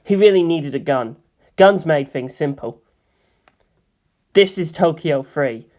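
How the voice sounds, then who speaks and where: A woman's voice: deep voice, animated, takes it slowly, a little mellow. A woman narrating fan fiction as a dramatic audio story reading.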